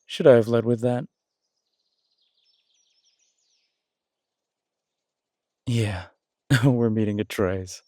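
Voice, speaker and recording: A man playing a confident, protective alpha werewolf boyfriend in an intimate roleplay audio drama, husky and soothing, teasing and reassuring in a casual way. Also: medium pitch, measured pace, mellow, no room sound, studio quality, natural voice.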